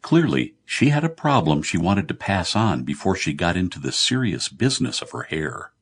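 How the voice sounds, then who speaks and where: A man narrating comic fantasy fiction as an audiobook, telling the story in a measured way. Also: low voice, very animated, breathy edge.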